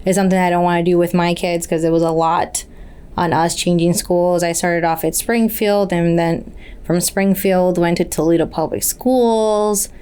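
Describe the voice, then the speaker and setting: A woman's voice: on the low side, steady tone, normal speed, mellow, clear, resonant tone. A woman from Puerto Rico whose first language is Spanish, earnestly telling her life story as a podcast guest. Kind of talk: casual interview.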